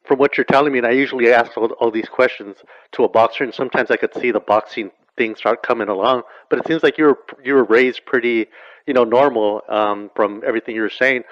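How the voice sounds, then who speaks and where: A male interview host talks quickly through rambling questions. His voice sits at a middle pitch. It comes across dry and slightly muffled, with no room sound. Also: fairly even intonation, no echo. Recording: dull, dry low-fidelity sound.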